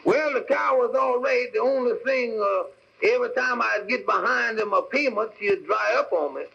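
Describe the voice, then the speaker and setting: An actor playing a simple, earnest, literal-minded country cab driver in a 1940s radio comedy. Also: male voice, very high-pitched, moderate pace, vocal fry, sharp tone, slightly rough, full character voice. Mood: earnest, folksy. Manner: folksy, literal-minded, ungrammatical. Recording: muffled old 1940s radio recording.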